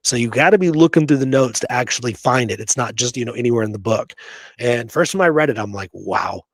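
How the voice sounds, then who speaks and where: Emphatic mid-pitched man chatting history. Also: fast talker, no room sound. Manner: emphatic, conversational, rhetorical questioning. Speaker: history show host. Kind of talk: casual history discussion.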